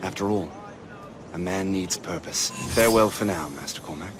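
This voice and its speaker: An actor playing a high-minded colonial-era army colonel in a game cutscene, speaking formally in a measured, gentlemanly manner. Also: male voice, background noise, lightly acted. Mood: encouraging. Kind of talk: dramatic game cutscene dialogue.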